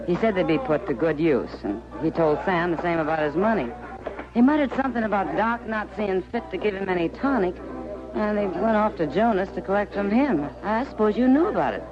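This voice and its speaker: Music plays clearly under a dulled old radio recording of a woman acting a frontier saloon woman. Her American voice swings freely in pitch, warm, teasing and confiding. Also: expressive, fairly quick, dull audio, close to an everyday voice. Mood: confiding, amused. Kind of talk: 1950s radio western acting.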